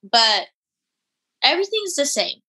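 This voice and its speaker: A woman in her twenties, an elite gymnast and interview guest, talking in a relaxed, giggly way with many fillers. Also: high voice, measured pace. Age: 20s. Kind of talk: casual interview chat.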